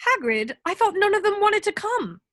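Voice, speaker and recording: A woman's voice: very high-pitched, big pitch swings, normal speed, a bit bright, dry recording, full character voice. A woman playing an earnest, anxious teenage schoolgirl in a script read, concerned and pleading. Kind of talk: table read of a film script.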